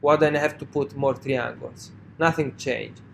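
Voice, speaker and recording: Italian-accented man lecturing, halting. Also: mid-range voice, steady tone, vocal fry, slight background noise. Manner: halting, many fillers and "right?" checks. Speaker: university lecturer. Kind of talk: classroom lecture.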